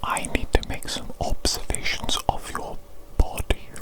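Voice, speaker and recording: A woman voicing a doting evil doctor in an ASMR medical roleplay, in a breathy, unvoiced whisper, soothingly repeating short phrases. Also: measured pace, natural voice. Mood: soft, attentive. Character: doting evil doctor examining the creature they created. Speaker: ASMR performer.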